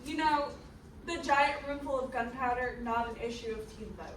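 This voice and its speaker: A woman's voice: sits high, normal speed. A woman narrating a comic scripted history in a theatrical manner with sardonic asides. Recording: roomy, distant mic, faint noise.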